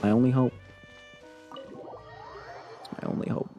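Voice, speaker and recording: A man's voice: medium pitch, huge pitch swings, takes it slowly, mellow, faint music behind. A man livestreaming a game, giving casual commentary full of wry, joking asides.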